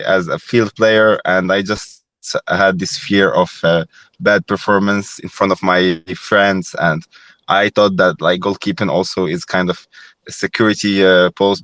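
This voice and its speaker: A man's voice: low voice, fairly bright tone, no room sound. A young man from Morocco, a former goalkeeper, talking thoughtfully as a podcast guest, with frequent fillers and restarts. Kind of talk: reflective podcast interview.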